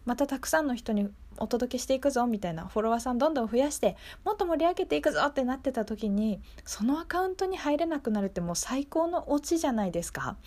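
Female radio host, fairly high voice, chatty and informal delivery. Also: animated, quick pace, few pauses, darkish tone. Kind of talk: casual local radio hosting.

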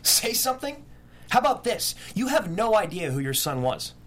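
A man's voice: high voice, very animated, normal speed, fairly bright tone, exaggerated voice. A man at a table read playing a blunt, troubled teenage boy who captains a surf team, curt and slangy. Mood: bitter anger.

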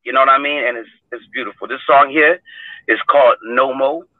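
Hoarse man on a tinny phone line. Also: mid-range voice, fairly even intonation, a little fast, dry recording. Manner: rambling, repeats words, preachy about God. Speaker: guest singer. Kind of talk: casual chat and a cappella singing.

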